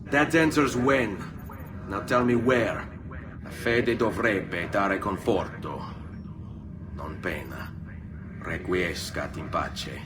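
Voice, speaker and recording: An actor voicing a young, vengeful Italian assassin in a video game, with an Italian accent and a terse, controlled, solemn manner. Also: male voice, sits low, slow, pauses often, noisy background. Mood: defiant. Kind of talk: video game dramatic acting. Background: music.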